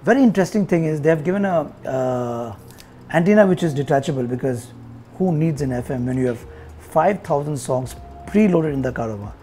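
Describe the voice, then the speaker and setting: A man's voice: on the high side, lively intonation, brisk, mellow, slight background noise. A man in his forties with an Indian accent, giving a casual, conversational product review to viewers. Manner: conversational, addressing viewers directly. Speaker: tech reviewer presenting an unboxing. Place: indoor showroom-like room.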